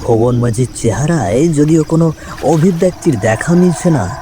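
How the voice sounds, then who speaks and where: A man's voice: a little high, animated, normal speed, noisy background. A man narrating a scripted ghost story in a dramatic storytelling style.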